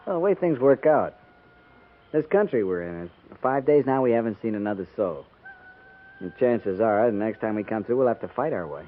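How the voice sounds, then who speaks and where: A man's voice: on the low side, lively intonation, normal speed, long pauses. An American man playing an earnest, dutiful junior cavalry officer in a 1950s radio western, speaking respectfully. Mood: reflective, quiet. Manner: respectful, clipped military address. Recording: tinny, noisy 1950s radio recording.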